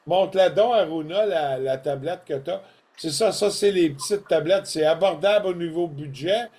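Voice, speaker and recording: A man's voice: a little high, moderate pace, slight background noise. An older man with a Québécois French accent, chatting casually on a podcast in a folksy, storytelling way. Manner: folksy, animated storytelling with colloquial asides. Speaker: co-host presenting products.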